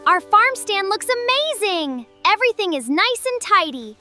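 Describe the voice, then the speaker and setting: A performer voicing a cheerful, excitable little cartoon kid with a very high childlike voice, exclamatory and encouraging. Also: normal speed, exaggerated voice. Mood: gentle, encouraging. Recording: children's music behind the voice.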